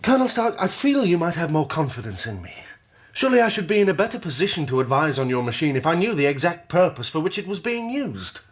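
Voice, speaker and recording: Radio drama as young male engineer: British, well-spoken, inquisitive, slightly husky, animated delivery. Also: fairly high pitch, quick pace. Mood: curious, guarded. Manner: polite, well-spoken, expressive. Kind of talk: radio drama acting and first-person narration.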